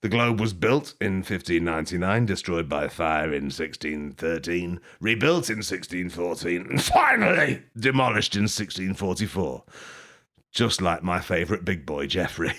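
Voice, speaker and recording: A man rambling through an improvised mock tour-guide bit, deadpan and absurd, swearing and laughing at his own jokes. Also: low-pitched, moderate pace. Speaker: podcast host.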